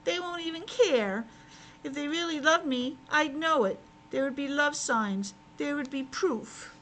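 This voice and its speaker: Woman as little runt piglet boy, plaintive, earnest, storybook character, higher pitch, noisy recording. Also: unhurried, fairly bright tone. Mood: hurt, resolute. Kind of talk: storybook read-aloud. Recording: clearly noisy background.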